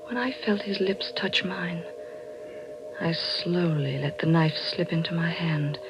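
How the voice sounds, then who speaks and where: An actress playing a cunning, high-strung widow who feigns innocence, in melodramatic 1940s radio style. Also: female voice, a little slow, muffled recording. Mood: hushed, menacing. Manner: melodramatic, breathy dramatic delivery. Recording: vintage radio drama recording with music.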